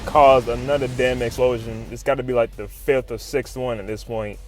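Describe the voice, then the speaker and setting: A man's voice: mid-range voice, steady tone, moderate pace, darkish tone. Man, slangy hyped commentary over music. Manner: slangy, profane, informal, exclamatory. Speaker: video host reviewing comics. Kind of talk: excited comic recap commentary.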